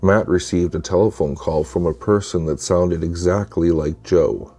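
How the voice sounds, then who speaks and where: Deep, mellow-voiced male storyteller. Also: steady tone, moderate pace. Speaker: narrator of true scary stories. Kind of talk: scripted storytelling narration.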